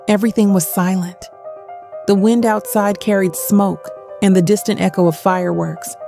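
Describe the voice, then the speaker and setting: An actress playing a cold, resolute, haunted avenger, narrating an audio drama in short, measured phrases. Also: female voice, medium pitch, gravelly, a little mellow. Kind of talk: first-person audio drama narration and dialogue.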